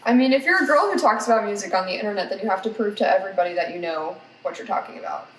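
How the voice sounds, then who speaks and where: A woman's voice: mid-range voice, expressive, very fast, slight background noise. A woman chatting casually as an interview guest. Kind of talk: casual chat. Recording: distant mic, echoey room, crowd behind.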